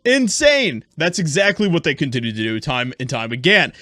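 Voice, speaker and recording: A male online commentator with a fairly high voice delivers his opinions emphatically. His tone is scornful and pointed, and the recording is close and dry, with very little room sound. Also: sing-song, normal speed, sharp tone, no room sound. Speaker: YouTube commentator.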